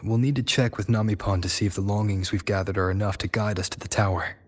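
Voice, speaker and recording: A man's voice: on the low side, fairly even intonation, fast, natural voice. An actor playing a thoughtful young male traveler in a video game, phrasing things a little tentatively. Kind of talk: video game cutscene voice acting.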